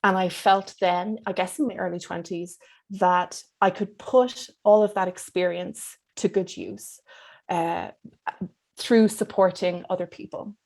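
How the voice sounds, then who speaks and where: An Irish woman in her fifties speaking as an interview guest, in an articulate, measured and reflective way. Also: lowish voice, steady tone, vocal fry, darkish tone. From Dublin, Ireland. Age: 50s. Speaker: psychotherapist being interviewed.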